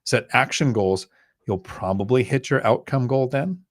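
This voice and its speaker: A man's voice: medium pitch, measured pace. A man from Thailand, a guest investor, giving blunt, emphatic advice in a casual podcast chat. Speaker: guest real estate investor.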